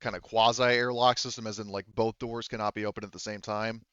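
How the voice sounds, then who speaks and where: Low, smooth-voiced man running a game. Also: flat delivery, fast, fairly bright tone, no room sound, dull audio. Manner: rambling, self-correcting. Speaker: game master. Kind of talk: casual tabletop game narration, explaining rules.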